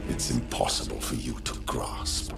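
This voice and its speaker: A man's voice: a bass voice, steady tone, a little slow, gravelly, heavily acted. A man playing a cold, smug grand chancellor and army commander in a video game, speaking formally with condescending menace. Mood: cold disdain. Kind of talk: dramatic video game acting. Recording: echoey, with music behind.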